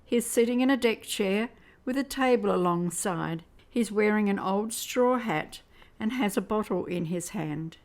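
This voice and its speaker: A woman's voice: mid-range voice, normal speed, a little mellow. A woman reading scripted narration for a science fiction audio drama.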